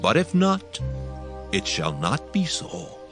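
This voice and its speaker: An actor playing a solemn aging male prophet in a dramatized scripture, with a grave, measured delivery. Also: fairly high pitch, wildly swooping pitch, creaky, music in the background, exaggerated voice.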